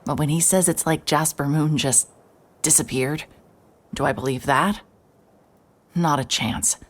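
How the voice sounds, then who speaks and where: A woman's voice: sits low, fairly even intonation, a little slow. A woman playing a hard-hearted, sleep-deprived private investigator, wry and terse, narrating a noir audio drama in the first person. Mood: wry, determined. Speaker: narrator and lead character.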